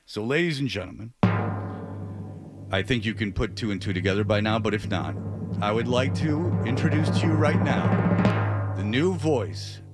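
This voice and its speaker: A man hosting a radio talk show, speaking off the cuff in a halting way with stammers and repeated words. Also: lowish voice, animated, loud music behind the voice. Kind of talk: unscripted radio talk show monologue and interview. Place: radio studio.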